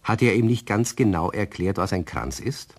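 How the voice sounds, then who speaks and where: A man playing the narrator of a children's radio play, telling the scripted story in a husky voice. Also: on the low side, expressive, normal speed. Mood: wry.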